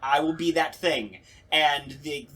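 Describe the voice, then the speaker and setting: A man hosting a casual podcast discussion, talking in a rambling, animated, sweary way. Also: on the high side, slow, rough recording. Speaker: podcast host.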